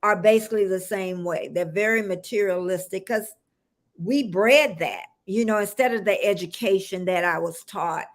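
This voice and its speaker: A woman from the United States hosting a casual live-stream chat, chatty and quick to laugh. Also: mid-range voice. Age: older. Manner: laughs often, chatty and encouraging. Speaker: host.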